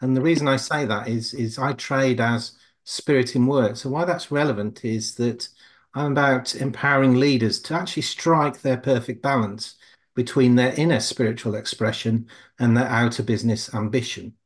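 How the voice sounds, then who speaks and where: A man introducing himself as a podcast guest, talking in a rambling, slightly halting way. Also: mid-range voice, steady tone. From England. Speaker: podcast guest, leadership coach. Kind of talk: conversational self-introduction.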